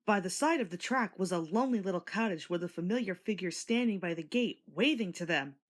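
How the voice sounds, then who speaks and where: A woman narrating a scripted children's story in an expressive storytelling manner. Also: fairly low pitch, a little fast.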